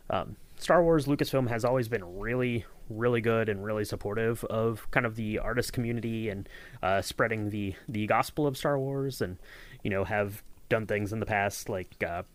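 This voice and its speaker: A man co-hosting a podcast in a casual behind-the-scenes chat, rambling with lots of fillers and restarts. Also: fairly low pitch. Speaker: podcast co-host.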